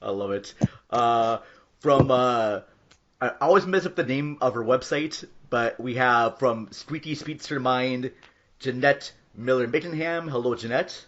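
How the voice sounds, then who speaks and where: A man's voice: mid-range voice, measured pace, fairly bright tone. Male podcast host, dull lo-fi recording. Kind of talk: casual podcast introduction.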